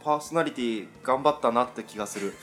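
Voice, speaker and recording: A man's voice: medium pitch, fast, a bit bright, faint music behind. A man hosting a radio show, moving between casual chat and announcements.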